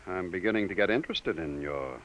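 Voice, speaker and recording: A man's voice: deep voice, expressive, brisk, fairly bright tone. An actor playing a skeptical, commanding middle-aged male bureau chief who gives orders to his assistant, in 1950s radio drama style. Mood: grave. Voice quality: brusque, commanding. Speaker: boss. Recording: old radio broadcast with faint hiss.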